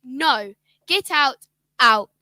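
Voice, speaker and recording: A woman's voice: high-pitched, expressive, normal speed, bright, forceful tone, no room sound, studio quality. An actress playing a caring father who grows indignant on his daughter's behalf in a children's radio play. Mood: concerned. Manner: concerned, indignant. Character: caring father, protective and quick to anger.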